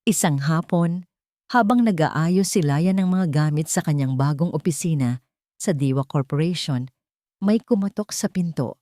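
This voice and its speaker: Female audiobook narrator, Filipino accent, lower-set mellow voice, dramatic storytelling, recorded close and dry. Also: measured pace, no echo.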